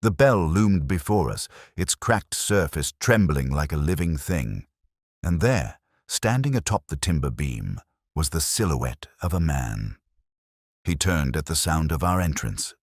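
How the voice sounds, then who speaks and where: A man narrating a dramatic mystery story with a measured, solemn, literary delivery. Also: rumbling low, very animated, dry recording, studio quality. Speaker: audiobook narrator.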